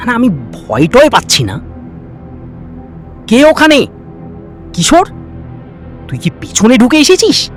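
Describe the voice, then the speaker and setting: A man's voice: very high voice, wildly swooping pitch, slow pace, pauses often, full character voice. A man playing a cocky young livestreamer whose bravado cracks into fear as he chats hesitantly to his viewers. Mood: defiant, casual. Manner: chatty, hesitant, addresses viewers directly. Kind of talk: acted found-footage livestream monologue. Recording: background music, some ambient noise.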